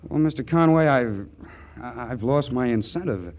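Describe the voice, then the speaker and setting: A man's voice: mid-range voice, expressive, normal speed, a little mellow, lightly acted. Man playing a shy, stammering, lovesick young student. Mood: dejected. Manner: hesitant, stammering, earnest. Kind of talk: 1940s radio comedy acting. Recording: vintage 1940s radio recording.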